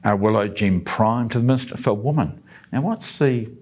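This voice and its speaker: Chatty older New man, lowish voice, easy informal delivery. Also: normal speed. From New Zealand. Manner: relaxed, chatty, jokey asides. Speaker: parliamentary speaker hosting a daily update. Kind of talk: casual explainer of the day's business.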